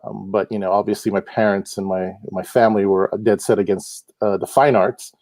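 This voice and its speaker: Middle-aged man from Hawaii, easygoing interview guest, low voice, dry sound. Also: a little fast, dry recording. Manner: relaxed, laughs often, many fillers. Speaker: guest, comic book artist. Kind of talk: casual interview chat. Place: home.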